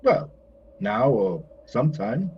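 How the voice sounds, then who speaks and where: A man's voice: medium pitch, very animated, slow pace, warm tone. An actor playing a whimsical, courtly male painter in an audio play, moody yet carefree, with a theatrical, lyrical way of talking. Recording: dull, slightly noisy recording.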